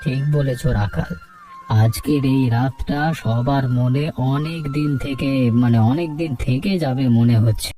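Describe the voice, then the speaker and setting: A man's voice: medium pitch, steady tone, unhurried, mellow, soft background music, natural voice. A man voicing an easygoing village older brother in a cartoon story. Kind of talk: Bengali cartoon story dialogue.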